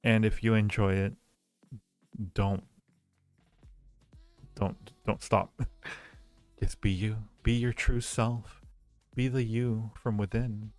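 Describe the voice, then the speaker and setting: A man hosting a casual livestream, answering listener questions in a halting, rambling, reassuring way. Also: on the low side, level tone, darkish tone, smooth, faint music behind. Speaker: livestream host giving advice.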